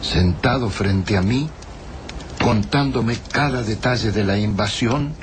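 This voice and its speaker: Grave argentine narrator for a radio drama, really low voice, lots of noise behind. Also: male voice, takes it slowly. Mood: reflective. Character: grave male chronicler narrating an apocalyptic tale. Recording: narration over loud noisy soundscape.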